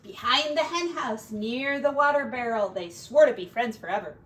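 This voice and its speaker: Expressive high-voiced woman reading to kids. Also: normal speed. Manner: expressive, simple gentle asides to children. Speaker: teacher reading to young children. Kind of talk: picture-book read-aloud with chatty asides. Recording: distant mic with faint background noise.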